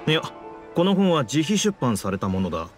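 Dramatic, highish-voiced struggling writer. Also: male voice, big pitch swings, fairly quick. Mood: explaining. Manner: theatrical, exasperated, insistent. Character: struggling male writer, dramatic, stubborn, pleading. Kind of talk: Japanese anime game voice acting. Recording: background music under the voice.

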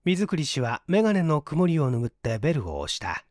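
A man's voice: a little high, big pitch swings, normal speed, a little mellow, no echo. A man reading literary fiction as a dramatic audiobook narrator in a close, dry recording. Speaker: narrator, story reader.

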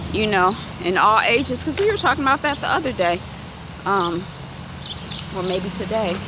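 Animated Detroit woman vlogging on a walk. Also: moderate pace. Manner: animated, acts out quoted voices with big pitch jumps. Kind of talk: walk-and-talk vlog chat. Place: outdoors on a residential street, walking. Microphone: phone held at arm's length. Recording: outdoor noise from street traffic.

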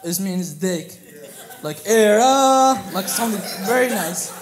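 Guy from iraq pulled up from the crowd, highish voice, kinda hesitant then blurts stuff loud, crowd all around. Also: expressive, a little slow. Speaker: audience member. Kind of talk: answering a comedian on stage. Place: comedy club stage with a crowd. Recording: live club recording with crowd noise.

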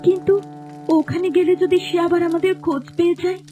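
Woman as young princess, gentle, earnest, soft-hearted, cartoon fairy-tale acting, light music behind. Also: very high-pitched, flat delivery, fast. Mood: sad, worried. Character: gentle young princess in hiding, devoted to her parents.

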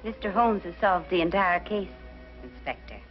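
A woman's voice: on the low side, animated, normal speed, natural voice. A woman playing a brave, dignified lady with a formal, hesitant delivery in 1950s detective drama style. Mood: grateful. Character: brave, dignified lady shielding her husband. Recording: muffled old broadcast, music underneath.

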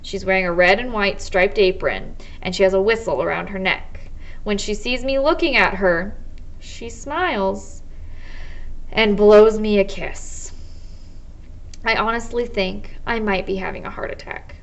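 An American woman in her twenties, a teacher reading a children's novel aloud in measured first-person narration. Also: mid-range voice, poor audio. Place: small indoor office or study.

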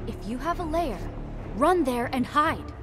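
A woman's voice: sits high, lively intonation, measured pace. A woman voicing a troubled, earnest young woman in a video game, speaking as if in warning. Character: troubled young woman struggling with the demon inside. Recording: loud music behind the voice.

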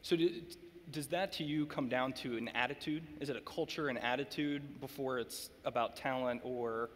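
A man seated on a stage, asking long, measured questions at a mid pitch. Long hall reverb surrounds his voice. Faint room noise sits under it. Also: steady tone, fairly bright tone. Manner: measured, sets up questions with context. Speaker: interviewer. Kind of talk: onstage interview questions.

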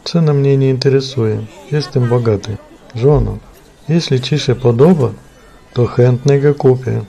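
A man's voice: mid-range voice, measured pace, creaky, dark tone. A man playing a proud, self-important rich husband in a cartoon. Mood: boastful.

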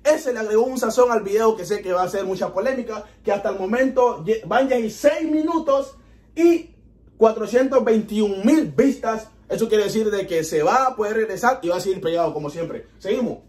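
A young man from Honduras with a Honduran Spanish accent, chatting excitedly as he reacts to a music video in a small room. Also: sits high, moderate pace. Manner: chatty, excitable, animated with hand gestures. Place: small indoor room in front of a TV.

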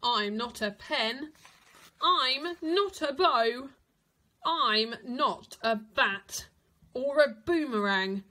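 A woman voicing an indignant, homesick little living stick man from a storybook, with emphatic, drawn-out protests. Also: high-pitched, lively intonation, sharp tone, full character voice.

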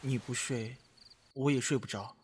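Man as a gentle companion, noisy track. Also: mid-range voice, steady tone, moderate pace, natural voice. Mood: gentle probing. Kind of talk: Chinese costume radio drama acting.

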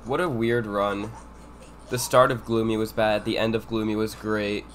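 A man's voice: flat delivery, normal speed, fairly bright tone, a little hiss or hum. Sick, sniffly young man streaming. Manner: low-key, mumbling asides while playing. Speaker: speedrunner streamer. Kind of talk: casual live-stream commentary. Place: home room with air conditioner.

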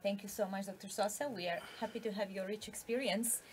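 A woman's voice: mid-range voice, fairly even intonation, fast talker, a little mellow, a little hiss or hum. Polite young woman hosting a studio Q&A. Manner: polite, hesitant questioning. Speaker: host. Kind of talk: hosting a live Q&A.

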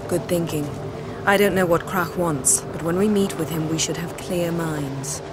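An actress playing a poised, imperious woman with cutting wit and crisp, formal delivery in a fantasy game. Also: lowish voice, normal speed, very noisy, lightly acted. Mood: arch. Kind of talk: video game dialogue acting.

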